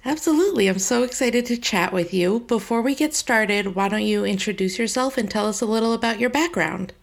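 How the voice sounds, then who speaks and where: A woman's voice: on the high side, fairly quick, vocal fry. A woman hosting a travel podcast from New England, talking in an upbeat, chatty way and laughing often. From New England, United States. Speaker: podcast host and travel planner. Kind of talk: casual travel podcast chat.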